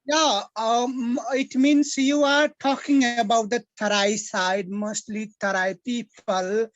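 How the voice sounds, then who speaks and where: A man from Nepal with a Nepali accent, a native Nepali and Maithili speaker, giving a formal, halting self-introduction as a guest in an English practice conversation on a video call. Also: extremely high pitch, steady tone, no echo, highs cut off.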